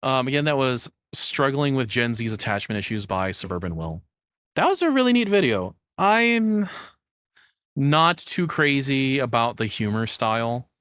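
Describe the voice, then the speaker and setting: A man's voice: medium pitch, big pitch swings, normal speed, fairly bright tone, clean tone, dry recording. A man giving casual, off-the-cuff reaction commentary as a streamer, rambling and blunt.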